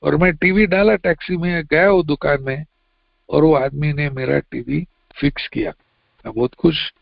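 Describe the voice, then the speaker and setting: Man, Mumbai accent, high and gravelly, plain testimony share, dull dry lo-fi audio. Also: steady tone, moderate pace, no echo. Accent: Mumbai Hindi. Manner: plain, conversational, mixes in English phrases. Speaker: recovering member sharing at a meeting.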